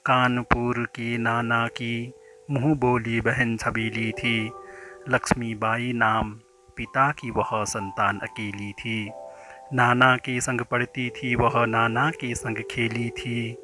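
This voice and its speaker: A man reciting a poem in a measured, declamatory way, drawing out the ends of lines. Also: mid-range voice, little pitch movement, bright, forceful tone, soft background music. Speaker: poetry reciter. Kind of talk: dramatic Hindi poem recitation.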